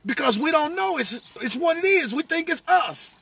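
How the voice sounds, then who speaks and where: A man's voice: very high-pitched, sing-song, moderate pace, gravelly, a bit bright. A middle-aged American man preaching off the cuff in conversation, emphatic and repetitive, as if testifying. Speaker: religious speaker. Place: inside a parked vehicle.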